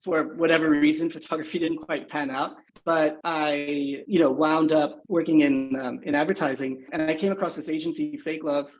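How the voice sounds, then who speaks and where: A man from Singapore, in his thirties, talks as a podcast guest in a fairly high voice. He speaks thoughtfully and keeps adding "you know" and "right?" as he goes. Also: steady tone, normal speed, hardly any pauses, rough recording. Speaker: guest, agency founder. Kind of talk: podcast interview.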